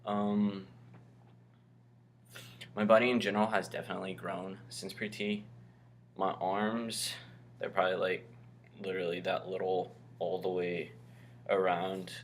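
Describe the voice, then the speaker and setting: A man's voice: sits low, level tone, unhurried, long pauses, slight background noise. A young man talking casually to his camera in a vlog, rambling with lots of ums and likes. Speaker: vlogger.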